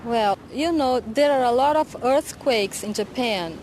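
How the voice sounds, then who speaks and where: Slow-speaking woman answering interview questions. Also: fairly high pitch, a little mellow, slight background noise, poor audio.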